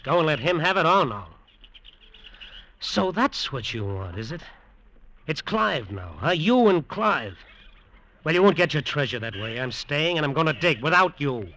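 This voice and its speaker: A man's voice: on the high side, very animated, moderate pace, sharp tone, slight background noise, full character voice. A man acting in an old radio suspense drama as a desperate, greedy resort builder who narrates his own downfall in an intense, hard-boiled way. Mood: bitter, defiant. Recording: old radio recording with music.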